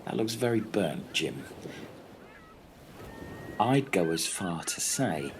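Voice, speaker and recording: A man's voice: sits low, very animated, slow pace, full character voice. A man playing a hesitant, polite, bumbling castaway who repeats himself, in a comic radio play. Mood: halting. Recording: noisy outdoor ambience with birds.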